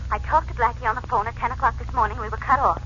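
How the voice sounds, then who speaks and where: A woman's voice: fairly high pitch, fairly even intonation, very fast, sharp tone. An American woman playing a worried, earnest young sweetheart in a 1940s radio drama. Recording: muffled, noisy 1940s radio recording.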